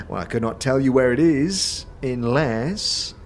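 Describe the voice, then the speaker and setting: A man's voice: mid-range voice, lively intonation, slow, a little hiss or hum, voice pushed up. Man putting on a theatrical, swooping tavern keeper. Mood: flustered. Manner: hesitant, florid, conspiratorial. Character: chirpy, theatrical male tavern keeper with flair for spooky tales. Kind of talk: fantasy audio drama acting.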